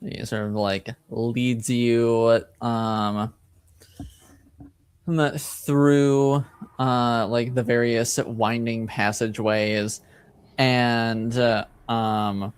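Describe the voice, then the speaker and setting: Male game master, improvised tabletop narration, smooth clean tone, slow hesitant delivery padded with fillers. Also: mid-range voice, little pitch movement. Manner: hesitant, halting, many filler words.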